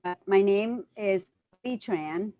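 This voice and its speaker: A woman's voice: medium pitch, slow, warm tone. A woman in her fifties with a Vietnamese accent, hosting a casual video call chat and laughing often. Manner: laughs often, cheerful and chatty. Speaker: show host. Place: home office.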